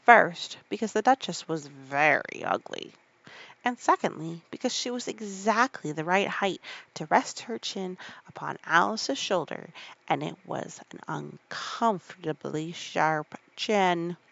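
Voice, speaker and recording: A drowsy woman storyteller reads softly and wanders into casual asides. Her voice is a little low, with lots of fry, and she takes her time. The recording is somewhat muffled. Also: lively intonation, a little slow. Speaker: storyteller reading aloud. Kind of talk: sleepy bedtime reading with casual asides.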